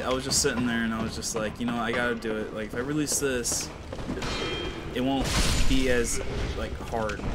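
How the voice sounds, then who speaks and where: Casual gamer guy, young-sounding, swears constantly, loud noise behind. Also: medium pitch. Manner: swears a lot, laughs often, rambling with fillers. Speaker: let's play gamer. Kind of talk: casual gameplay commentary.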